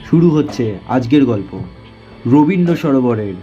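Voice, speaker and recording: A man narrating a first-person horror story in a dramatic, suspenseful way, with deliberate phrases broken by pauses. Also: mid-range voice, lively intonation, warm tone, dull audio, soft background music.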